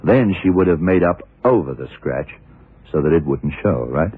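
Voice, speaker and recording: An actor playing a shrewd, worldly, commanding male detective who explains deliberately, in the style of vintage radio drama. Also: low voice, expressive, slightly rough. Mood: patient, knowing. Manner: deliberate, hard-boiled explaining. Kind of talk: 1960s-70s radio drama acting. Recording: muffled, narrow-band vintage radio recording.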